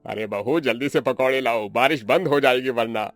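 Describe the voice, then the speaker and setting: A man's voice: medium pitch, normal speed, a bit bright, dry recording, soft background music, natural voice. A man voicing male relatives in a cartoon family drama, insistent and plain-spoken. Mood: impatient.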